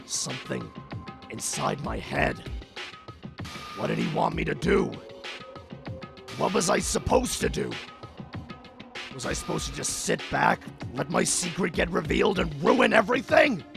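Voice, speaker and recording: A man acts out a hot-tempered young tough weighed down by guilt. He speaks slowly and haltingly, with a hugely swinging pitch and a gravelly edge, in intense anime-dub style. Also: sing-song, full character voice. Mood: anguished, remorseful. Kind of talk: dramatic anime-style fandub acting. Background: faint music behind the voice.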